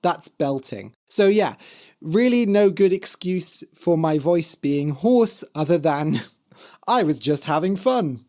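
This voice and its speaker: A man hosting an English-learning podcast, speaking in a hoarse, slightly dry voice and explaining words clearly for learners. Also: on the high side, lively intonation, slow pace, a little mellow. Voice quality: spells words out letter by letter. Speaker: podcast host and English teacher. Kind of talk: slow, clear teaching talk for learners.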